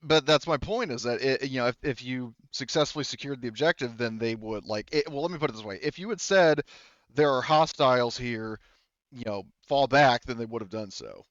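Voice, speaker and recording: A man acting as game master, recapping and bantering casually in halting, rambling explanations. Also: medium pitch, a bit bright, no echo, highs cut off.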